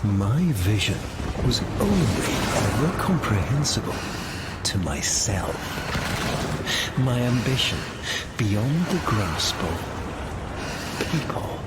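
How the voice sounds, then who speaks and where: An actor playing a cold, aggrieved, megalomaniac villain lord in a video game cutscene, speaking in a pompous, bitter, theatrical way. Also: male voice, fairly low pitch, very animated. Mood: grandiose, bitter. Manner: pompous, bitter, slow and deliberate. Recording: loud music under the voice.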